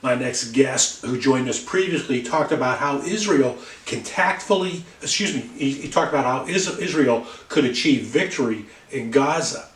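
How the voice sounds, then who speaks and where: A man's voice: mid-range voice, expressive, moderate pace, hardly any pauses, a bit bright, reverberant, far from the mic. A man hosting an interview show, talking conversationally and stumbling over words at times. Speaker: talk show host. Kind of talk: interview host.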